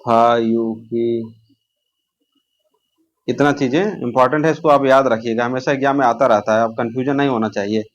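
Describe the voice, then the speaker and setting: Male exam coach, mid-pitched voice, informal revision delivery that repeats key terms and answers its own questions. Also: steady tone, normal speed, long pauses, lo-fi sound. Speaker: exam-prep teacher.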